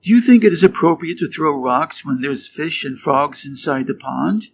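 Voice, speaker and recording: An American man from the Midwest reading short fables and quiz questions clearly and deliberately for English learners. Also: on the high side, lively intonation. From Wisconsin, USA. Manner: clear, deliberate, rising quiz intonation on answer choices. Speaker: English teacher reading stories. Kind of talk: slow scripted storybook reading for learners. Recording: dull lo-fi sound with faint noise.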